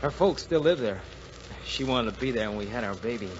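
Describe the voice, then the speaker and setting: An earnest young soldier played by a man, polite and nervous, stumbling a little over his words in a medium-pitched voice. The 1940s radio drama recording is dull, with faint noise. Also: expressive, brisk, close to an everyday voice. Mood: earnest, tender. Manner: hesitant, earnest, stammering. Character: anxious, polite young soldier, an expectant husband.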